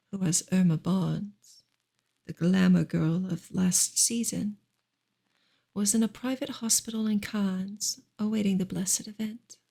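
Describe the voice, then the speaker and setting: Female ASMR reader, heavy vocal fry, husky breath, mostly whispered, soft deliberate delivery. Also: medium pitch. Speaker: ASMR streamer reading a novel aloud.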